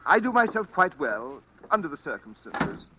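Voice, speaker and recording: An actor playing a nervous, genteel, suspicious bachelor in an old-time radio drama, hesitant and stammering but polite. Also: male voice, fairly high pitch, big pitch swings, moderate pace. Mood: uneasy. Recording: faintly noisy old radio recording.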